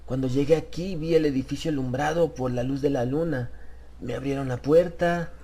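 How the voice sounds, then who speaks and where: Man playing frightened traveller, higher-pitched voice, trembling, stammering, bewildered, horror audiobook drama. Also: fairly even intonation, warm tone, lightly acted. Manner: trembling, stammering, halting questions. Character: shaken, bewildered English traveller, a merchant in terror.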